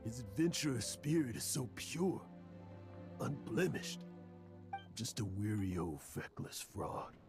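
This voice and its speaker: Gravelly, weary old adventurer. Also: male voice, medium pitch, sing-song. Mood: wistful. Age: older. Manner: emotional, dramatic, halting. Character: weary, self-doubting old male adventurer. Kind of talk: video game voice acting. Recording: music under the voice.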